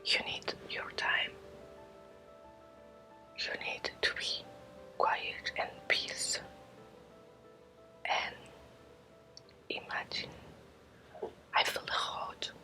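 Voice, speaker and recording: A woman, a native Spanish speaker, giving an ASMR guided lesson as a Reiki teacher in a breathy whisper, in halting short phrases with long pauses. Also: bright, forceful tone, faint music behind.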